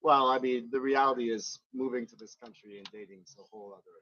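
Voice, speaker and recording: A man's voice: mid-range voice, steady tone, fast, bright, forceful tone, highs cut off. A man from Montreal whose first language is French, talking hesitantly as an interview guest with many restarts. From Montreal, Canada. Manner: hesitant, with repeated starts and "you know". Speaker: interview guest, bed and breakfast owner. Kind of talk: casual conversation. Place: outdoors on a balcony overlooking volcanoes.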